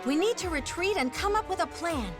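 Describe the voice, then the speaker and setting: A young fantasy game heroine, a resolute fighter. Her voice is very high, earnest and firm, with music clearly behind it. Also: expressive, brisk. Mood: urgent. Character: determined young female warrior, earnest and urgent. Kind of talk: anime fantasy game voice acting.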